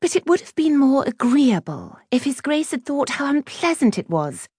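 Woman voicing a haughty high-pitched aristocratic schoolgirl. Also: very animated, moderate pace, a little mellow, dry recording, studio quality. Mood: coldly superior. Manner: prim, snobbish, cutting. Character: haughty, spiteful young lady of quality, a schoolgirl.

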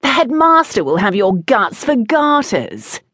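A woman voicing a rude, mocking fifteen-year-old schoolboy in an audiobook story, loud and taunting. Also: fairly high pitch, big pitch swings, a little slow, dry recording, studio quality, pitched above the performer's own voice, exaggerated voice. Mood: gleeful.

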